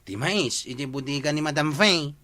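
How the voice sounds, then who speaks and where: A man's voice: a little high, normal speed, pushed and bright. A man with a Filipino Ilocano accent playing an insistent, suspicious village official in a colloquial comedy drama. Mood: accusing. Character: male village official, suspicious, quick to accuse.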